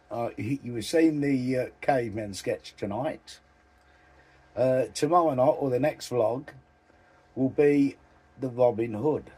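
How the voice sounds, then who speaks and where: A man in his sixties talks casually to his viewers as a vlogger. His voice is dark and husky, and he speaks slowly with hesitant phrasing. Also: medium pitch. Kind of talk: casual chat to camera. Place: small van interior at night.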